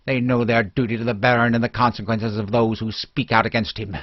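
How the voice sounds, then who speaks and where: A man playing a hostile, threatening village mob man in a fantasy audiobook, forceful and stern. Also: mid-range voice, level tone, brisk, dry recording, close to an everyday voice. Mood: stern, menacing. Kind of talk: dramatic fantasy audiobook dialogue. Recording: dull lo-fi recording.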